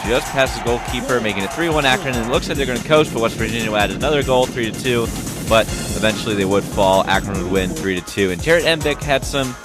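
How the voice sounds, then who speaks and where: A young man doing casual, lively voice-over commentary on soccer highlights for a student sports show. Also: quick pace. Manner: lively, casual, laughs at his own slips. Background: music.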